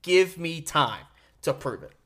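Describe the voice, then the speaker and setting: A male sports podcast host with a very lively, swinging pitch range. He speaks emphatically and with strong opinions, stretching key words for stress as he delivers solo commentary. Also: a little slow.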